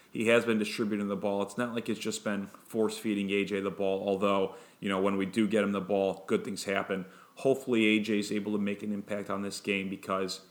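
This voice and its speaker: A man hosting a fan podcast, giving a casual sports preview in a flat, monotone way, with many ums and restarts. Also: fairly low pitch.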